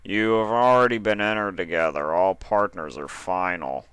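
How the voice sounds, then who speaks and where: A man's voice: deep voice, moderate pace, fairly bright tone, clear, resonant tone, no echo. A man voicing a stern male pony official in a storybook reading, matter-of-fact and unbending. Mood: businesslike.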